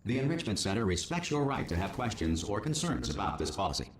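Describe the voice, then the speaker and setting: A deadpan corporate announcer. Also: male voice, fairly low pitch, slight background noise. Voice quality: smooth, measured, deadpan. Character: calm corporate male facility announcer. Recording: echoey public address sound.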